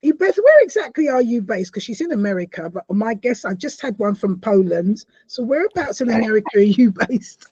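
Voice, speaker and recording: A woman hosts an interview in a chatty, welcoming way. Her voice is relaxed and mellow. The sound is dry and somewhat dull, like a lo-fi call. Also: on the high side, fairly quick, few pauses, slightly rough, dry recording. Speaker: host. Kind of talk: conversational interview.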